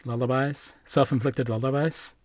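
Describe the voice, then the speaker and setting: A man's voice: mid-range voice, fairly even intonation, normal speed, no echo, clean studio sound. Earnest man hosting a podcast. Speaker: podcast host. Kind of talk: scripted podcast narration and interview questions.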